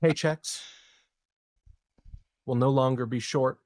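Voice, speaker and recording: Guy playing a serious sci-fi politician giving a measured speech, mid voice, dry sound. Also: mid-range voice, steady tone, darkish tone, no room sound, lightly acted. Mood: solemn, resolute. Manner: slow, deliberate, declarative speechmaking. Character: earnest, resolute male colony politician addressing the public.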